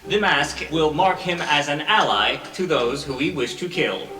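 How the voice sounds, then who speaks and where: A precise, calculating strategist voiced by a man for a tabletop game. The voice is bright, formal and animated, sounding roomy and off-mic. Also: a little high, normal speed, soft background music. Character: stiff, formal, calculating male strategic adviser. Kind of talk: improvised tabletop roleplay. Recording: roomy echo, distant from mic.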